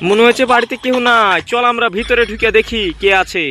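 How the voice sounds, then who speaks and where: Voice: medium pitch, fairly even intonation, fairly quick, sharp tone, a little hiss or hum, lightly acted. A performer voicing a grumbling male village party worker in a comic cartoon, talking in colloquial rural style. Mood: matter-of-fact.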